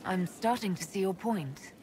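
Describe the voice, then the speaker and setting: An actress playing a resolute female leader in a video game, speaking in a measured, direct way. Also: mid-range voice, lively intonation, noisy background, natural voice.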